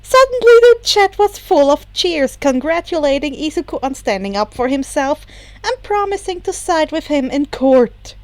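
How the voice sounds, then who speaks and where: A woman reading fan fiction aloud as an expressive scripted narration. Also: high voice, moderate pace, no echo. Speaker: podfic narrator.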